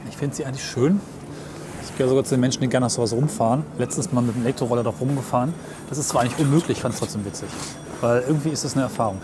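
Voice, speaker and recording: A man's voice: medium pitch, rapid-fire. A man chatting casually as a podcast co-host while sightseeing on foot, easygoing and positive about what he sees. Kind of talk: casual chat and sightseeing commentary on the move. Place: outdoors on city streets, walking. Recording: clear outdoor city and traffic noise. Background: street ambience, vehicles.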